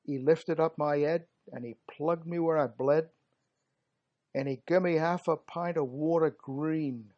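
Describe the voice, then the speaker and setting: A man reciting dramatic verse as a gruff working-class British soldier of colonial India, theatrical and emphatic, with slangy, clipped word endings. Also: on the high side, steady tone, a little slow, muffled recording, lightly acted. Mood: grave, fervent. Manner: theatrical, emphatic, dropped g's and slangy phrasing.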